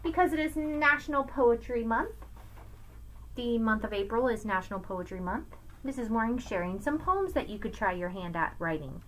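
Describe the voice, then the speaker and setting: Middle-aged lady teacher doing a kids read-aloud, highish voice that swoops around, really expressive, webcam at home. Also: normal speed, slight background noise, poor audio. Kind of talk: read-aloud lesson for children, rhyming picture book. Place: small room at home with bookshelves, indoors. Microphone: webcam at arm's length.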